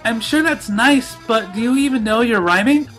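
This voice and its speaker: A man's voice: very high voice, moderate pace, fairly bright tone, faint music behind, pitched above the performer's own voice. A man voicing a bubbly, childlike young female cartoon pony, cheerful, bouncy and chatty, in a storybook reading.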